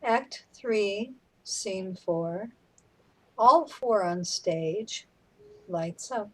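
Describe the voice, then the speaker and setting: A woman's voice: mid-range voice, extremely slow, fairly bright tone, low-quality recording. A woman reading out stage directions as scripted narration for a drama.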